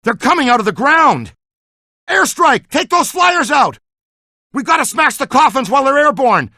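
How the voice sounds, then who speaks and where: A seasoned video game ghost hunter, talking like a streetwise squad mate. The voice is forceful and bright, with big pitch swings and no room echo. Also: male voice, big pitch swings, normal speed, studio quality, full character voice. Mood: urgent, alarmed. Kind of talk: video game character dialogue.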